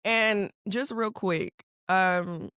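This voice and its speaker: A young woman from California hosting a casual interview, asking lively follow-up questions and affirming often. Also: mid-range voice, drawn-out words, clear, resonant tone, no room sound, studio quality. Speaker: podcast host. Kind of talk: conversational interview.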